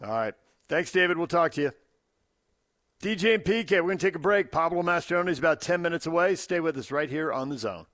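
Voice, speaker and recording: A man's voice: on the high side, lively intonation, fast talker, fairly bright tone, no room sound. Male radio host, dry studio sound. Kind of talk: casual radio talk.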